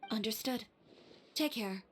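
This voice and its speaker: A woman's voice: on the high side, a little slow, slight background noise, lightly acted. A woman playing a proud, stubborn fashion designer in a video game, speaking in a firm, composed, curt way. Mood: firm, defiant.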